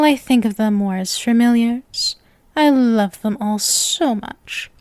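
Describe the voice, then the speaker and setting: A woman playing a sweet, obsessive witch in an ASMR roleplay, gentle, coy and doting with playful menace. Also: high voice, dry recording. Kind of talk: ASMR roleplay, scripted acting.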